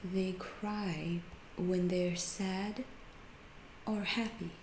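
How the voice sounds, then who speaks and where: A woman playing a calm, curious alien scientist in an ASMR roleplay, speaking softly and often in a whisper, with a detached, clinical, reassuring manner. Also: on the low side, extremely slow. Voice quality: soft, breathy, often whispered. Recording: roomy echo, clear background noise.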